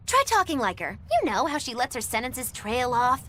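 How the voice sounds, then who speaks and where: A woman's voice: high-pitched, sing-song, moderate pace, a bit bright, slight background noise. A woman playing a playful, teasing character in an anime-style video game, with a coaxing, theatrical delivery.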